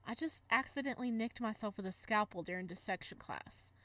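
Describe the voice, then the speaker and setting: A woman voicing a quiet, evasive schoolboy younger brother with a hesitant manner in a visual novel read-aloud. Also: medium pitch, a little fast, no room sound, recorded from a distance, natural voice. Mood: reassuring.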